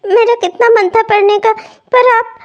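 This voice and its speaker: A woman's voice: very high voice, fairly even intonation, normal speed, dull audio. A woman playing a meek, dutiful young bride, earnest and plaintive, in a melodramatic family story. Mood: tearful reproach.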